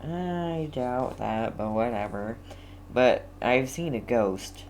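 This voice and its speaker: Woman game streamer, very deep voice, slow pace, casual, wry, puzzled commentary. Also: expressive, darkish tone.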